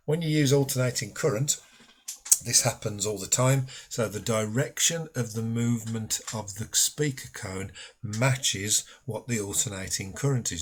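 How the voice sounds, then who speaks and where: A man's voice: on the low side, a little hiss or hum. A man speaking as a physics teacher, explaining a school lesson patiently and informally, step by step.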